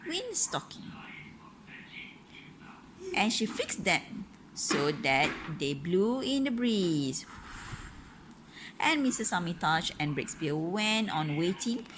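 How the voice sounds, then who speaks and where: A woman with a Malaysian accent reading a picture book aloud in a sing-song way, pausing to explain to a child, in a noisy room. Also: on the low side, very animated.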